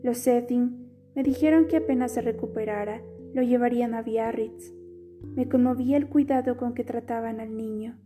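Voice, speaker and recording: A woman with a dark, mellow voice, pitched a little high, reads a letter aloud slowly and wistfully. Quiet guitar plays faintly behind her as she narrates. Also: fairly even intonation. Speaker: reader of a literary letter. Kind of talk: scripted audiobook reading.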